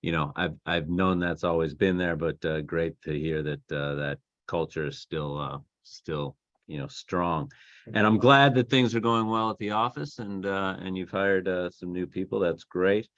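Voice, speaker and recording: A man's voice: low-pitched, steady tone, moderate pace, fairly bright tone, dry recording, lo-fi sound. A man speaking as an investor on a business conference call, phrasing things hesitantly and piece by piece. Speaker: investor asking questions.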